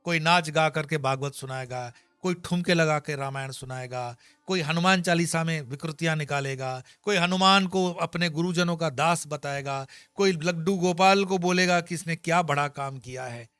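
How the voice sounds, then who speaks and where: Male spiritual teacher, bright forceful voice, emphatic discourse, close dry mic, faint music underneath. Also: a little high, normal speed, hardly any pauses, smooth, dry recording. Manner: emphatic, scolding asides with homely examples. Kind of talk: Gita discourse.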